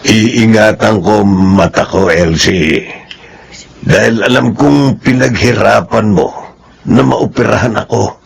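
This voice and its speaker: A man playing an ailing, gentle elderly father in a Filipino radio drama, speaking haltingly and tenderly. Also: on the low side, vocal fry, husky, exaggerated voice. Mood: tender, weary.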